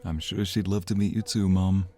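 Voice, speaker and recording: A man playing a devoted young husband and flustered expectant father in an audio roleplay, speaking haltingly. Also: deep voice, steady tone, dark tone, close to an everyday voice. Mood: polite.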